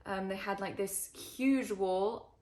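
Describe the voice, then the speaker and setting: A woman's voice: mid-range voice, takes it slowly, darkish tone. Chatty young woman, British accent. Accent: northern English-tinged British. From Switzerland. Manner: chatty, many ums and likes. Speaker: climber and video creator. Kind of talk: casual Q&A to camera.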